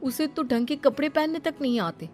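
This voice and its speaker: High, scornful gossiping neighbour woman as a narrator plays her. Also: lively intonation, brisk, over music. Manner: mocking, emphatic.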